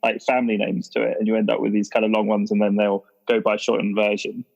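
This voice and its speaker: A man's voice: on the low side, monotone, fast talker, vocal fry, a little mellow, dull audio. A man in casual chat at a roleplaying game, suggesting things in a rambling, hesitant way. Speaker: tabletop roleplay participant.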